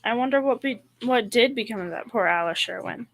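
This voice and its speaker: A young female performer playing a calm, gossipy, caring wife in a school old-time radio comedy. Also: a little high, fairly quick, creaky, a little hiss or hum. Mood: wistful gossip. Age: young teen.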